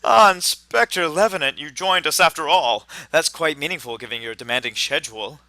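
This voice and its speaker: An actor playing a theatrical, excitable, eccentric gentleman in a hammy noir radio drama. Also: a little high, fairly quick. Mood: grandiose. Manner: theatrical, exclamatory.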